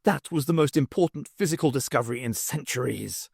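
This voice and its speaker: A man voicing an excitable, exasperated physics professor in an audiobook, lecturing emphatically. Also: medium pitch, a little fast, creaky, husky, dry recording, clean studio sound. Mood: outraged. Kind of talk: audiobook character dialogue.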